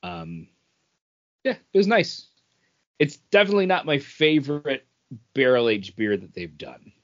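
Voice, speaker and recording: A man's voice: medium pitch, big pitch swings, unhurried, dull audio. A man co-hosting a podcast, talking casually as if reviewing things among friends. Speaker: podcast co-host. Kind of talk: casual chat, reviewing beer.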